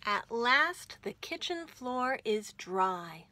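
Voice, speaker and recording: Bright, lively woman reading to children. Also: fairly high pitch, takes it slowly. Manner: expressive, enunciated, addressing children directly. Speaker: children's library storytime host. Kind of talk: animated read-aloud storytelling for young children.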